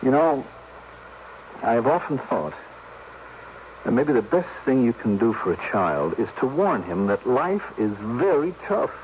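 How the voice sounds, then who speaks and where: A man acting in a radio drama as an earnest, compassionate character who muses haltingly on how hard life is. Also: medium pitch, very animated. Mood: earnest, sorrowful. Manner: halting, reflective, repeating himself for emphasis. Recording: dull, noisy old radio recording.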